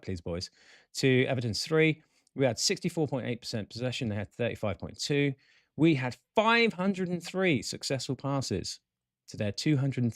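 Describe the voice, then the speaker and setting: A man hosting a football fan show, reading out match stats and player ratings with chatty asides in a croaky voice. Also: medium pitch, no room sound, studio quality. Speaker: football podcast host. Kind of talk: casual fan-show commentary.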